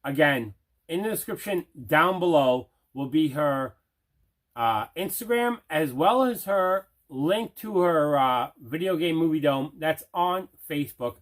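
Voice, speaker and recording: Higher-pitched man in casual unboxing chat. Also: lively intonation, measured pace. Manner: repetitive, emphatic phrasing. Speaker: collector and video channel host.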